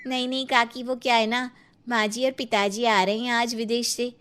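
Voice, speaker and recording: A woman's voice: fairly high pitch, flat delivery, normal speed, a bit bright, smooth, close to an everyday voice. A woman voicing a young, eager younger daughter-in-law who tells tall tales breezily in a comic story. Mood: earnest bragging.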